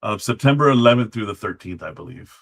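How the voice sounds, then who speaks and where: A man's voice: lowish voice, animated, quick pace, creaky, a bit bright. A man speaking as a guest, telling stories in a casual, conversational way. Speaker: guest, former Alamo guard. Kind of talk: casual storytelling chat.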